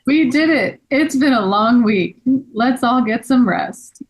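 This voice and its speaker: Woman as an earnest store owner, higher-pitched. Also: animated, slow, exaggerated voice, rough recording. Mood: grateful, weary.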